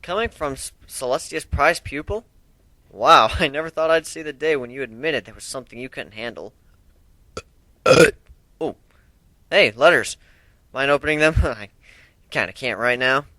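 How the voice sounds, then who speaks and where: A man's voice: mid-range voice, normal speed, pauses often, bright, forceful tone, dry recording, lightly acted. A man voicing a weary, wry young male griffin adventurer with a casual, sardonic manner, in a fantasy fan story. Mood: weary teasing.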